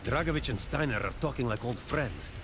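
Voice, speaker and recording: An actor playing a wary young soldier with a suspicious, probing manner. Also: male voice, medium pitch, brisk, close to an everyday voice. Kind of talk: dramatic game cutscene acting. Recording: loud outdoor vehicle noise.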